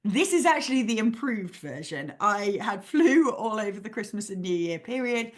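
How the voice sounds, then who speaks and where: A woman hosting a podcast as a PhD life coach, her voice a little croaky after flu, talking in an upbeat, chatty way with frequent laughs. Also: medium pitch, very animated, moderate pace. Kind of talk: casual solo podcast advice.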